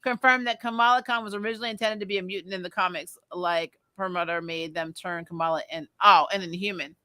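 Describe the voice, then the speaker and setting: Bright-voiced, animated woman chatting. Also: on the low side, quick pace, no echo. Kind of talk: casual podcast chat, reading and reacting to comments.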